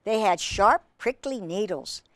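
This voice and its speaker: Expressive, unhurried woman storyteller reading to children. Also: mid-range voice, no echo. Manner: asks listeners questions, exclaims over pictures. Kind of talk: expressive read-aloud with asides to young listeners.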